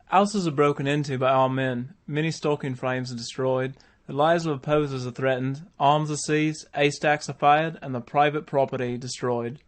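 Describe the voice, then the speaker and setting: A man's voice: mid-range voice, steady tone, normal speed, lightly acted. Stern declamatory magistrate, acted by a man. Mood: grave alarm. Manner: declamatory, reading out a grave proclamation. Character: stern male 1811 magistrate decrying riot and rebellion. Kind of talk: radio play proclamation.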